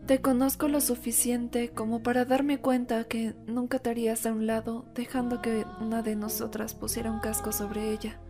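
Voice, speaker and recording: A woman voicing a grave, rational female unicorn pony in a solemn, measured cartoon fandub. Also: a little high, flat delivery, over music, lightly acted. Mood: solemn, reasoning.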